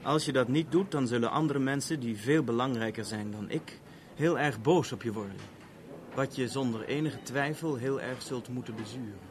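An actor in a 1970s radio drama playing an urbane, wry French police officer who speaks in measured, formal, dryly ironic sentences. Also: male voice, mid-range voice, close to an everyday voice. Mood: coolly warning. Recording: noisy old radio play recording.

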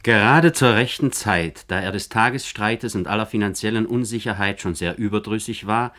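A man giving scripted documentary narration for radio, declarative and deliberate in his phrasing. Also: on the low side, bright, forceful tone.

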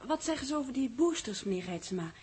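An actress playing a polite, curious space-base secretary in 1960s radio drama, with a Netherlands Dutch accent. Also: female voice, high-pitched, sing-song, quick pace, a little mellow, slightly rough, heavily acted. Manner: inquisitive, polite.

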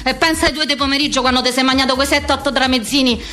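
A woman's voice: high voice, steady tone, quick pace, sharp tone. A woman performing a theatrical slang monologue as a harried, foul-mouthed office worker around fifty, with a Roman accent and a coarse, rhythmic rant. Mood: desperate.

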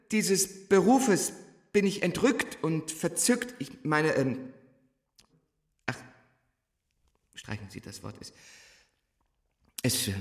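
An actor playing a gifted, fragile young teacher in a stage drama, declaiming with halting searches for words. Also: male voice, sits high, darkish tone, roomy sound, full character voice. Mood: fervent, elated, then flustered.

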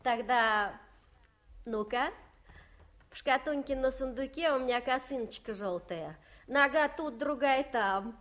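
A giggly gossiping village wife. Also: female voice, high-pitched, a little slow, fairly bright tone. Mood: gossipy. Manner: folksy rural speech, giggles often. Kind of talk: 1950s radio play acting.

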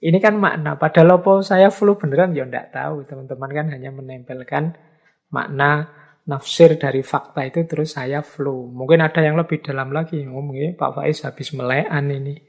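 A man with a slightly hoarse voice, giving a relaxed philosophy lecture and acting out everyday reactions. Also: a little high, moderate pace.

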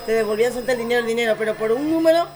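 A woman's voice: on the high side, very fast, darkish tone, faint music behind. A woman recounting a story in casual chat, repeating words insistently.

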